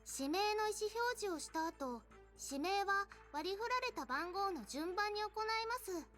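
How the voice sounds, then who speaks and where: Poised childlike goddess, extremely high pitch, refined haughty manner, visual novel game, prominent music. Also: female voice, lively intonation, brisk. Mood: composed, formal. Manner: polite, haughty, refined ladylike speech. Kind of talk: Japanese anime-style visual novel acting. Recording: loud game music under the voice.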